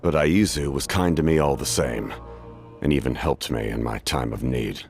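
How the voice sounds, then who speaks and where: Very deep, gravelly ancient warrior. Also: male voice, darkish tone, soft background music. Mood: somber, reflective. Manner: grave, measured, formal. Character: ancient cursed male masked warrior, stoic, weary and honorable. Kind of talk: video game voice acting.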